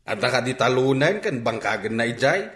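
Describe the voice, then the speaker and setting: A man's voice: medium pitch, very animated, fairly quick, fairly bright tone. An actor playing a stern, scolding farmer father in a radio drama. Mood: stern, scornful. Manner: gruff, scolding. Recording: echoey room sound.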